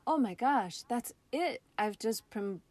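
A woman being interviewed as a theatre artist, speaking in a reflective, expressive, conversational storytelling way. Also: on the high side, takes it slowly.

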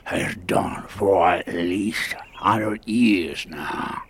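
Man acting as old mountain squatter, very slow, gravelly, hushed. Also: low voice, a little hiss or hum, heavily acted. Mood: ominous. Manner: slow drawling, gravelly, drops to hushed whispers. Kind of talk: radio drama acting.

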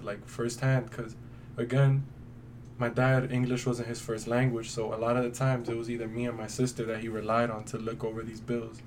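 A man talks casually as a podcast co-host, in a middle-pitched voice. His delivery is colloquial and emphatic. He sounds a little away from the microphone, with faint noise around him. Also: darkish tone. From New York City. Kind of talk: casual conversational podcast chat. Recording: distant mic, faint background noise.

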